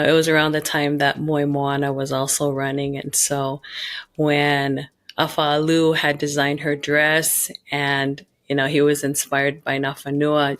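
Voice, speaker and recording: A woman talks through her story in a loose, meandering way, circling back with lots of "you know". Her voice is gravelly with a flat, level pitch. She is a guest on a podcast. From born in Hawaii, raised in Utah. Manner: rambling, many restarts and "you know". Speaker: guest, pageant founder.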